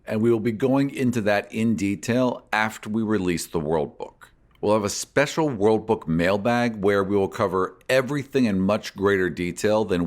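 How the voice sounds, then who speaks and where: A man hosting a podcast, reading out and answering listener questions in an articulate way. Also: fairly low pitch. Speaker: podcast host and game master.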